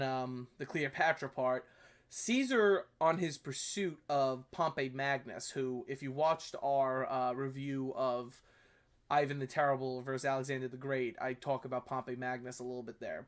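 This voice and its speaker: A man with a New York accent hosting a history review channel, talking casually and correcting himself as he goes. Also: mid-range voice. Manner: rambling, self-correcting, apologetic asides. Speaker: history video host. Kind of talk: casual commentary reviewing a video.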